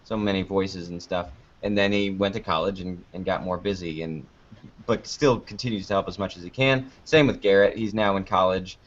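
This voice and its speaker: Male podcast guest, lower register, thinks aloud conversationally, dull low-fidelity recording. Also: fairly even intonation, moderate pace. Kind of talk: casual interview chat. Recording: dull lo-fi online call audio.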